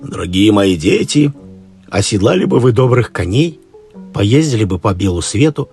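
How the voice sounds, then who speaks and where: A man's voice: lowish voice, sing-song, moderate pace, vocal fry, a little mellow. A man voicing an old fairy tale tsar, an anxious and fond father who speaks pleadingly. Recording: music behind the voice.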